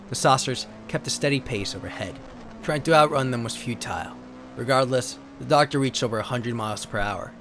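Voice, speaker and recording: A man's voice: mid-range voice, a bit bright, a little hiss or hum. A man giving a theatrical, solemn dramatic reading of pulp science fiction stories, as a narrator. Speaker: narrator and host. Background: sound effects and ambience.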